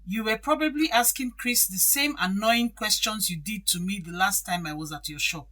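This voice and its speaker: A woman's voice: mid-range voice, normal speed, pushed and bright. An actress playing a domineering, impatient middle-aged stepmother in an audio drama, stern and admonishing. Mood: indignant, scolding.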